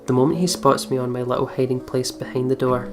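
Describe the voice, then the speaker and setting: A man hosting a let's play, reading visual novel narration aloud in an expressive storytelling way. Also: medium pitch, fast talker, over music.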